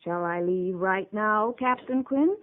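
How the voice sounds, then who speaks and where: A woman's voice: mid-range voice, takes it slowly, lightly acted. Lady doing a feisty proud young ranch gal in an old western radio show, narrow muffled audio. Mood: pert, indignant. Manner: pert, direct questioning. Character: spirited, proud young unmarried frontier ranch woman. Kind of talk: 1950s radio western acting.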